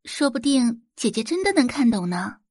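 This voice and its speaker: A woman voicing a sweet, falsely considerate young society daughter who asks things softly and innocently in an audio novel. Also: a little high, sing-song, normal speed, no echo, clean studio sound, voice pushed up. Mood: mildly surprised.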